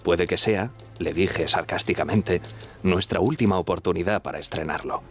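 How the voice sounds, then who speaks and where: Man acting respectful ship radio officer, really low voice, measured recollection, faint music behind. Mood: reflective. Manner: deferential, measured. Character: dutiful, respectful young ship's radio officer, testifying. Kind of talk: radio drama acting, first-person recollection.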